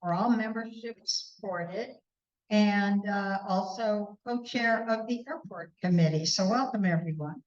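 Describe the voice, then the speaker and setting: A woman board member introducing herself at a neighborhood meeting, friendly and slightly halting. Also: mid-range voice, dull audio. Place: indoor community hall.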